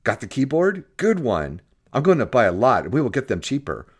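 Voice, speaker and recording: A man voicing a brash, fast-talking young computer salesman with pushy, clipped patter in an audiobook. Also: mid-range voice, wildly swooping pitch, breathy edge, pitched above the performer's own voice. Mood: eager, selling. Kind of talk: audiobook character voice.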